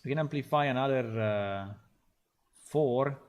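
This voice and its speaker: A man speaking at an unhurried, slow pace in a middle pitch. He talks casually as a game developer working on a live stream. He thinks aloud, stopping and restarting with many fillers.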